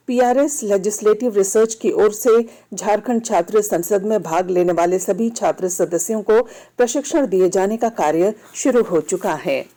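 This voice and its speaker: A woman reading a scripted regional radio news bulletin in a formal, measured manner. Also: medium pitch, warm tone. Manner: formal, measured delivery of announcements. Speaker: news reader.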